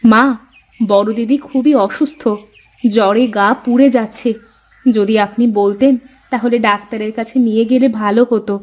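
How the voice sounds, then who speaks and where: A woman's voice: a little high, steady tone, moderate pace, a little mellow, lightly acted. A woman voicing a dutiful, worried young village co-wife in a cartoon fairy tale, earnest and pleading.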